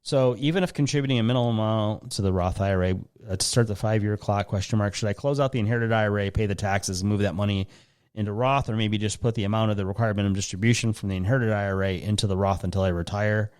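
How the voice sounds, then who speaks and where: A man's voice: lowish voice, fairly even intonation, fast talker, darkish tone, smooth. A man with an American Midwestern accent hosting a casual podcast, rambling through listener questions with jokey asides and interrupting himself. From Lansing, Michigan. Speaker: podcast host.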